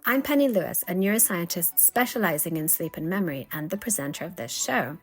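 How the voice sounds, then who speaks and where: A woman neuroscientist hosting a sleep science podcast, presenting clearly from a script. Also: fairly low pitch, animated, a little fast, soft background music.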